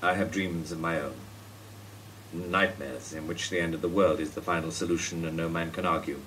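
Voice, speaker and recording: Deep, brooding old playwright. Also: male voice, fairly even intonation, moderate pace, fairly bright tone, slight background noise, rough recording. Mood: grave, resistant. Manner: grave, formal, oracular. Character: brooding, embittered, dignified ageing playwright at court. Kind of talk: broadcast radio play acting.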